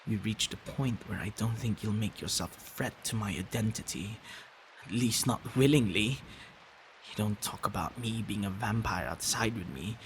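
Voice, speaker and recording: A man playing a protective, tender vampire boyfriend in an intimate ASMR roleplay, hushed and halting, often slipping into whispers and sighs. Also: on the low side, a little hiss or hum, natural voice, low-quality recording. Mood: remorseful, earnest.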